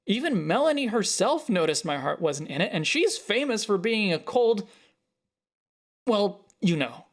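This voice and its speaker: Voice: mid-range voice, big pitch swings, voice pushed up. Defensive, frustrated grown-up child, acted by a narrator. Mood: angry, hurt. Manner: halting, then heated. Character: defensive, frustrated adult photographer arguing with a parent. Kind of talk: dialogue in a narrated short story.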